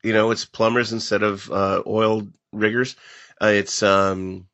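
A man's voice: fairly low pitch, flat delivery, unhurried, a bit bright, no room sound. A man co-hosting a podcast in casual discussion, telling stories with chuckling enthusiasm. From Pekin, United States. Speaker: podcast co-host. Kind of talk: casual discussion of old-time radio. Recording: dull lo-fi close recording.

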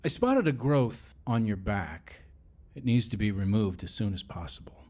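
A doctor in a radio drama. He is frank and clinical, speaking in a fairly low voice. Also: lively intonation, normal speed, dark tone. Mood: grave, frank. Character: frank, clinical male doctor delivering diagnoses.

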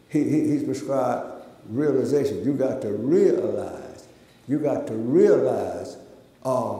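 A man's voice: mid-range voice, dark tone. An older man preaching a sermon as a reverend, with repetitive, emphatic phrasing and frequent amens. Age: older, about 70s. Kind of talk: sermon with repeated "amen" call-outs. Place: large church sanctuary, indoors. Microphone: gooseneck pulpit microphone. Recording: echoey church hall with long reverb.

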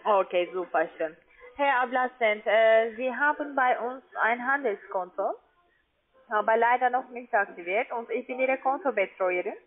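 A woman's voice: on the high side, normal speed. A woman speaking politely as a non-native speaker, working as a call-center account manager. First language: not German. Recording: tinny narrow phone line.

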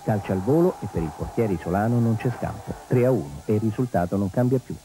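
A man narrating football match highlights from a script as a reporter. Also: expressive, quick pace, music in the background, low-quality recording.

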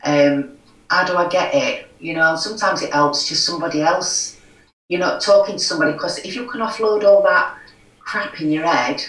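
A woman's voice: fairly low pitch, normal speed, a bit bright. Frank woman with broad Yorkshire accent. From Yorkshire, England. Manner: frank, earnest, many "you know" fillers. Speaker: guest, recovery forum chair. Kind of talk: conversational interview. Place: home room. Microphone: video call. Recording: echoey room, far from mic.

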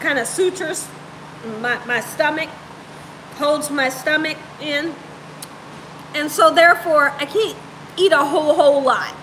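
A high, bright-voiced woman talks at an unhurried pace, playful and animated. She chats informally with her livestream viewers and likes to repeat a phrase for emphasis. She sounds like she is at home, speaking toward a phone at arm's length. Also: slight background noise, rough recording. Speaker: cooking show host on a livestream. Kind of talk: casual live chat with viewers. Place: home room, indoors.

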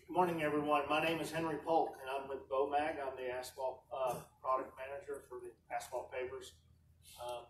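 Man, halting panel presentation, filler-heavy, distant mic, faint hiss. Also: mid-range voice, darkish tone. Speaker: product manager on an industry panel. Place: conference hall, heard from far off. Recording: echoey distant hall livestream, faint noise.

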